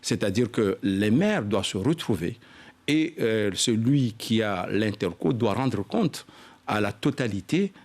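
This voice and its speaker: Guy with a lowish voice, local politician being interviewed, explains stuff in a halting formal way. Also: expressive, a little mellow. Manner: halting, repeats "je dirais", explanatory. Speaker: elected local official, interview guest.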